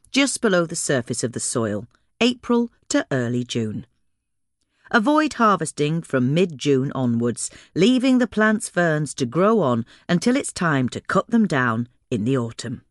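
A woman reading a scripted instructional guide in a measured, clearly enunciated way. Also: low-pitched, dry recording, studio quality. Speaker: reader of a gardening guide.

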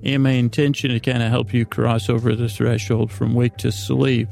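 Very creaky, meandering American man. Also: mid-range voice, little pitch movement, a little mellow, soft background music. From United States. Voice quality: gravelly, creaky. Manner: meandering, halting, with drawn-out pauses and tangents. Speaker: podcast host. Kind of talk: rambling bedtime podcast monologue.

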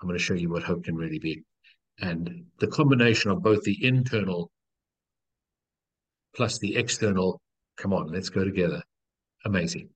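A middle-aged man from South Africa with a low, husky voice speaks as a life coach in conversation. He talks in an earnest, encouraging way and leans on repeated phrases. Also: normal speed, long pauses, low-quality recording. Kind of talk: reflective storytelling in conversation.

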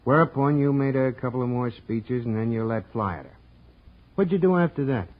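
An actor playing an impatient, stubborn American police sergeant in a 1940s radio crime drama, with slangy, sarcastic talk. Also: male voice, mid-range voice, brisk, lightly acted. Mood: businesslike, impatient. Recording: dull, lo-fi old radio recording.

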